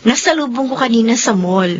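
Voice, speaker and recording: An actress playing a chatty, easily piqued young wife in a radio drama, with a Filipino accent. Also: female voice, fairly high pitch, expressive, brisk, natural voice. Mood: gossiping, concerned. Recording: dull lo-fi radio drama, faint noise.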